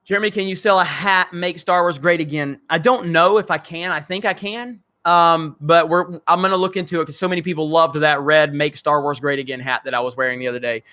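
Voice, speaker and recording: Male streamer host, slightly high, bright and crackly voice, blunt and profane, loose joking chat. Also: steady tone, brisk, hardly any pauses. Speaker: channel host. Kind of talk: casual livestream chat reading viewer comments.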